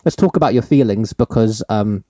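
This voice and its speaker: A man's voice: fairly low pitch, animated, moderate pace, dark tone, dry recording, studio quality. A man, hosting a podcast in a rambling, teasing, laugh-filled chat. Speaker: podcast host.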